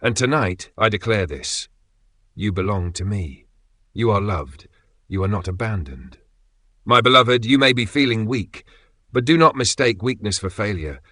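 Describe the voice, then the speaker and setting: A man reading a scripted devotional message in the voice of God, gentle and tender, often sinking to a near whisper. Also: lowish voice, lively intonation, moderate pace, no echo.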